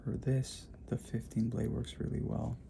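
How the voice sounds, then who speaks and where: A calm, focused podiatrist from Puerto Rico, quietly explaining as he treats a patient in his clinic. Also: mid-range voice, normal speed, vocal fry. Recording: noisy clinic room.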